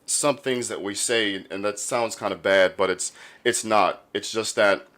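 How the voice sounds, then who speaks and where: A man's voice: fairly low pitch, measured pace, fairly bright tone. A man hosting a podcast in a casual, laid-back, informal interview style. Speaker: podcast host.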